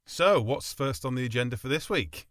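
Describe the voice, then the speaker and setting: A man's voice: medium pitch, lively intonation, moderate pace, loud music behind the voice. A man co-hosting a podcast in casual, bantering chat. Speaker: podcast co-host.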